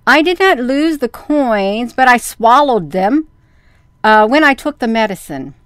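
A man's voice: extremely high pitch, big pitch swings, measured pace, fairly bright tone, smooth, no echo, studio quality, exaggerated voice. A woman voicing a young wooden puppet boy in a storybook, earnest and full of excuses. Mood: fibbing.

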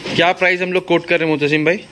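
A man's voice: fairly high pitch, little pitch movement, rapid-fire, crowd noise. A man hosting a casual vlog interview, asking questions and repeating answers back to confirm. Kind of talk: casual on-camera interview about livestock. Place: open-sided livestock shed. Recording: noisy open shed with farm ambience.

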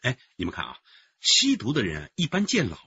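An actor playing an opinionated, argumentative detective with a husky voice in a radio drama. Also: male voice, mid-range voice, wildly swooping pitch, fairly quick, bright, forceful tone, muffled recording, exaggerated voice. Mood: assertive.

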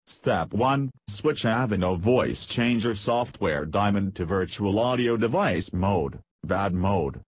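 A man reading a scripted, step-by-step software tutorial as its narrator. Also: fairly low pitch, expressive, takes it slowly, few pauses, breathy edge, no echo.

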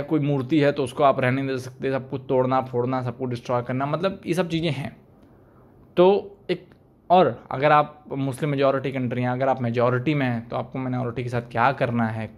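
A young man talking to camera as a video commentator, arguing pointedly and asking rhetorical questions, recorded with a desk microphone in a small room. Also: medium pitch. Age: young adult. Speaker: YouTube commentator. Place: small indoor room, plain wall. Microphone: desk microphone in front.

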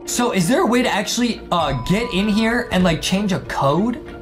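A young American man hosting casual, excited gameplay commentary, slangy and often repeating himself for young viewers. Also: high voice, moderate pace. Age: young adult. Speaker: gaming show host. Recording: music behind the voice.

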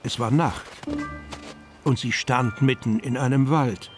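A man's voice: medium pitch, steady tone, a little slow, close to an everyday voice. A man narrating a children's fantasy radio play as a storyteller. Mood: calm storytelling. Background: music.

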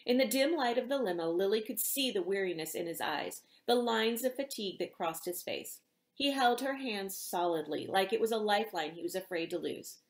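A middle-aged woman, an author reading aloud in an expressive storytelling style in a small indoor room. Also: mid-range voice, moderate pace. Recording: roomy home echo.

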